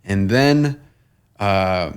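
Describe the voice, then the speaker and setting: A young man from Long Island with a low, smooth and resonant voice. He talks earnestly and at an even pace, with plenty of "uh" and "you know" and the odd restart, the way a guest speaks on a podcast interview. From Long Island, New York. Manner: measured and earnest, with frequent fillers and restarts. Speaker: guest, nonprofit co-founder. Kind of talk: conversational interview.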